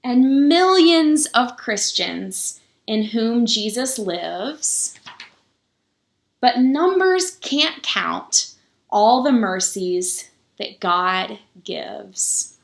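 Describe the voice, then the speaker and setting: A woman pastor reading a children's book aloud for story time, pausing to explain things simply to young listeners. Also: fairly high pitch, expressive, creaky. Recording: roomy, echoing sound.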